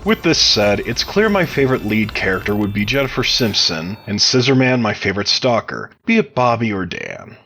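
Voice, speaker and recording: A man's voice: lowish voice, huge pitch swings, normal speed, few pauses, bright, forceful tone, faint music behind. A man giving prepared answers as a podcast interview guest, sounding like he reads them out. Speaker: interview guest, game mod developer.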